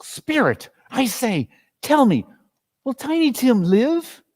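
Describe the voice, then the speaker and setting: Man acting an anxious old miser, pitched high. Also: sing-song, takes it slowly, darkish tone, full character voice. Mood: pleading. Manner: beseeching, urgent.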